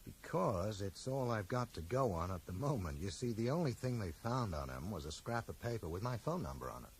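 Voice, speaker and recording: Dogged low-voiced amateur sleuth, animated. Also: male voice, a little fast. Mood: probing, insistent. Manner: direct, probing, wry. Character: dogged, dry-witted bookseller questioning people like an amateur detective. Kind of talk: 1980s radio drama acting. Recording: noisy 1980s radio broadcast.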